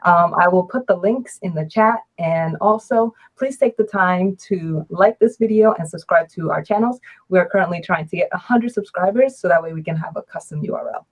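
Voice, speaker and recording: A woman in her early thirties hosts a casual live chat. Her voice sits a little low, and her manner is relaxed and unhurried, with frequent ums between thoughts. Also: poor audio. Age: 30s. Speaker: host and adult advisor.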